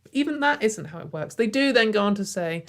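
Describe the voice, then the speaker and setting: Woman host, casual commentary, mid-pitched, dry exasperated sarcasm. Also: animated, brisk, a little hiss or hum. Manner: exasperated, sarcastic, frequent sighs and fillers.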